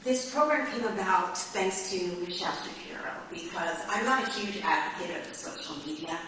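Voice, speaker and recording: A woman hosting as artistic director, giving an informal, earnest and somewhat halting welcome speech to a live concert audience. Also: on the low side, animated. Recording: very echoey hall, distant mic, faint noise.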